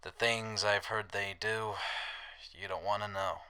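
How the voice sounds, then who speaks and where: A man playing a wry, roguish wasteland drifter with a soft heart in an audio drama. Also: fairly low pitch, level tone, measured pace. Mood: sly, cautioning.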